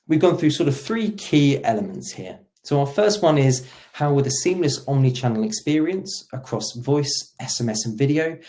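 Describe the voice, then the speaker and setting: A man giving an explanatory software demo as a presenter, walking through it step by step. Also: medium pitch.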